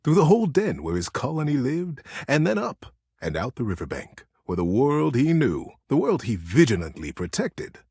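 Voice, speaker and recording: A man's voice: medium pitch, wildly swooping pitch, moderate pace, darkish tone, breathy edge, no room sound, clean studio sound. A man giving a tender, theatrical narration of a bedtime story. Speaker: voice actor narrating bedtime stories in a game.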